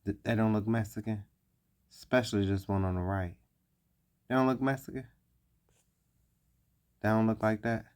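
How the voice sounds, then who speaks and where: A man slowly talking through pictures on screen, repeating his points deliberately. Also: lowish voice, fairly even intonation, a little mellow, clear, resonant tone. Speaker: commentator. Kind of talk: explanatory commentary over images.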